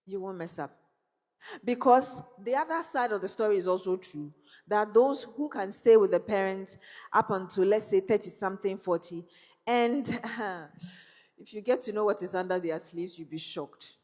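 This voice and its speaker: A woman's voice: mid-range voice, normal speed. Woman speaking in a reverberant hall. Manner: warm storytelling with laughs and faith references. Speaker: guest speaker. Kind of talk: motivational talk to young people.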